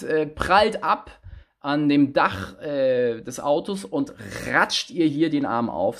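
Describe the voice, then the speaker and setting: A man in his thirties, a rapper, telling a story and chatting casually into a phone held close at arm's length in a small room, with lively, animated pitch swings. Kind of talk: casual selfie vlog storytelling.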